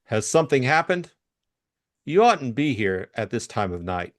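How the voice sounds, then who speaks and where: A man's voice: mid-range voice, very animated, moderate pace, close to an everyday voice. A man voicing a patient but weary hard-boiled detective in an audiobook, evasive and brushing people off. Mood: firm, concerned.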